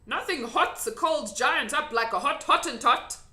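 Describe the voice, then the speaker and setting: A woman voicing a friendly old male giant in a children's storybook, excitable and emphatic, with quaintly muddled grammar. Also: high voice, moderate pace, pitched above the performer's own voice, exaggerated voice. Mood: gleeful explaining. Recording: echoey room.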